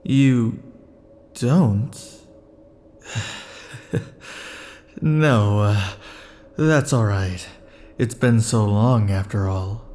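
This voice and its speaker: Man doing obsessive immortal male lover, possessive, soft sighing murmur, slow, mellow. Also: fairly low pitch. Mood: tender possessive. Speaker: ASMR roleplay performer. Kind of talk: ASMR roleplay.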